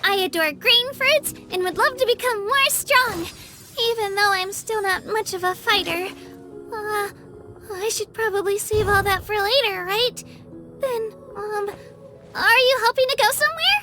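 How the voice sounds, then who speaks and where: Very high, earnest little girl game heroine. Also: a little slow, over music. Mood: cheerful, earnest, then shy, helpful. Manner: hesitant, stammering, earnest, sweet. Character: timid, earnest young girl miner, eager and easily flustered. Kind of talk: animated game character voice lines.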